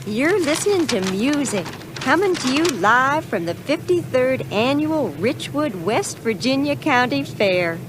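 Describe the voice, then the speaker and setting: A woman's voice: sits high, big pitch swings, a little slow, background noise. A woman playing a folksy country fair performer with a Southern US accent, announcing on live radio in a scripted drama. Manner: folksy, presentational.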